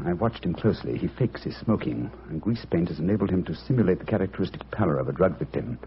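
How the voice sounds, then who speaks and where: Confident detective, crisp upper-class delivery, deep and quick, old radio play. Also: male voice, animated, slight background noise. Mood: genial. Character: brisk, confident male detective. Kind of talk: old radio drama acting. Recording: dull old radio recording.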